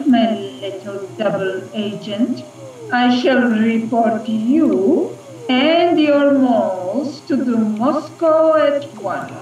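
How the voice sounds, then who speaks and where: Echoey, slow, seductive Russian spy ghost. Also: female voice, on the high side, a little mellow. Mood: triumphant. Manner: purring, taunting. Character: seductive, scheming female Russian agent ghost. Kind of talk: radio play acting. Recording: dull, echoey, distant, slightly noisy recording.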